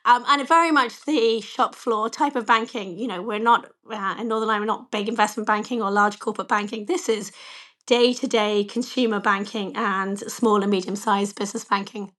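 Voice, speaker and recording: Slightly croaky woman, candid interview guest. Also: mid-range voice, fairly even intonation, normal speed, a bit bright. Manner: candid, self-deprecating, many "you know" fillers. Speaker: bank chief executive, interview guest. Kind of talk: conversational interview answers.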